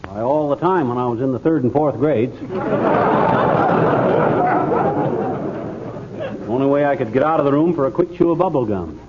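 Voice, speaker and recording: An actor playing a blustering, scatterbrained middle-aged husband with a folksy manner in 1940s radio comedy. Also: male voice, medium pitch, animated, quick pace, natural voice. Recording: noisy muffled 1940s radio recording.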